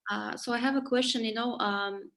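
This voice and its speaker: A woman moderating an online question session, calm and measured. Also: on the high side, creaky. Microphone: video call.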